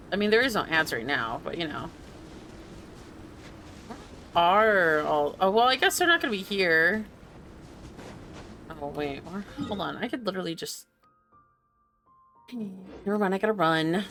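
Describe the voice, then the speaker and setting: Slow, lowish-voiced woman streaming a game. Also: big pitch swings, a bit bright, soft background music. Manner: rambling, muttering to herself. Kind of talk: casual gameplay commentary.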